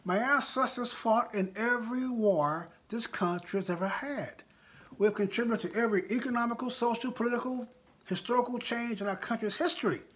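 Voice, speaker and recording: High-pitched older American man, emphatic. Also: fairly quick, a bit bright. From United States. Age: 60s. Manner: emphatic, repeats phrases for effect. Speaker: live stream host and political speaker. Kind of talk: impassioned informal monologue to live viewers.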